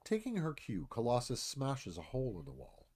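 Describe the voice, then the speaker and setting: A man reads stage directions as dramatic narration. His voice is mid-pitched and moves up and down expressively, in the scripted style of a screenplay reading. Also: huge pitch swings, moderate pace, darkish tone.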